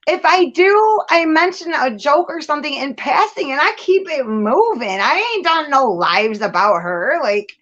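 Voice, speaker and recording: A woman's voice: sits high, expressive, a little slow, few pauses, fairly bright tone, lo-fi sound. A woman venting candidly and casually as host, blunt and profane, leaning hard on repeated phrases for emphasis. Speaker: host of a live commentary show.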